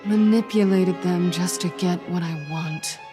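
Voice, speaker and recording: A woman's voice: on the low side, fairly even intonation, a little slow, natural voice. An actress playing a guarded, guilt-ridden female captive in a video game drama. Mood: pained. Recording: orchestral string music under the voice.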